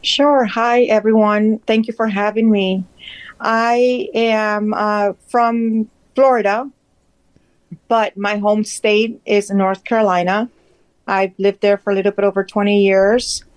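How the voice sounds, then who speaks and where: Woman in her 30s from Peru, slow and even, halting, dry close sound. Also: mid-range voice, no room sound, rough recording. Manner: slow, halting, searching for words. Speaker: audience member asking a question.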